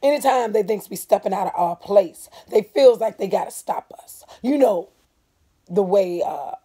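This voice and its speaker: A woman voicing a bitter, wary Southern man telling bad news in halting, folksy rural dialect, as in a storybook reading. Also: mid-range voice, lively intonation, warm tone, slightly rough, voice pushed up. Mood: uneasy.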